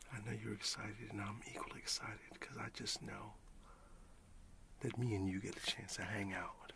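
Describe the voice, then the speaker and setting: A man playing a warm, excited father who talks affectionately and in a folksy way to his young son in a breathy whispered ASMR roleplay. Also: lowish voice, noisy background. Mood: wistful, tender. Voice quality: breathy whisper with gravelly creak. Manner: unhurried, folksy, affectionate, with long pauses.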